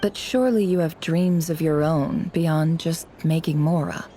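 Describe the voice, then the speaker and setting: Game casting: a courteous, secretive female boss, mellow-toned, gentle, formal, faint noise behind. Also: deep voice, a little slow. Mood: gently probing. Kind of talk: video game voice acting.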